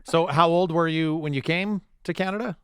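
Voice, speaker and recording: A podcast co-host asking curious questions in a relaxed casual chat. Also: mid-range voice, normal speed, smooth, no room sound, clean studio sound.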